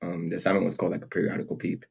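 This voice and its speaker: A young man born in Nigeria, co-hosting a podcast in relaxed casual chat with frequent fillers and laughs. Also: sits low, very fast, creaky, slightly rough. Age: young adult. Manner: laid-back, laughs often, many "like" fillers. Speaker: podcast co-host. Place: room, seated in chairs.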